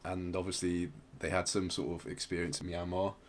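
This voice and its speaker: A man's voice: deep voice, flat delivery, a little fast, slight background noise. A man hosting a podcast, casually explaining music in a hesitant, rambling way. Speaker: podcast host.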